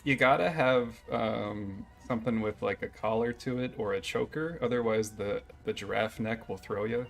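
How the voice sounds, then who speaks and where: A man's voice: on the low side, fairly even intonation, normal speed, creaky, few pauses. A man from Minnesota with a Minnesotan accent, joking around in casual voice chat with his raid group. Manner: joking, easygoing. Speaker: raid member. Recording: game music faint behind voice chat.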